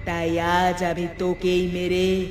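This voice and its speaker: A woman plays a bloodthirsty ghost of a murdered village woman in a horror cartoon. She draws out her threats slowly and with menace, over loud music. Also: on the low side, monotone. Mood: menacing rage. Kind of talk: Bengali horror cartoon dubbing.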